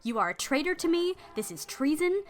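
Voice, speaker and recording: A woman's voice: mid-range voice, lively intonation, moderate pace, pushed and bright. A woman voicing a proud, grieving noblewoman who orders her servant away in indignant, commanding outbursts. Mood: furious.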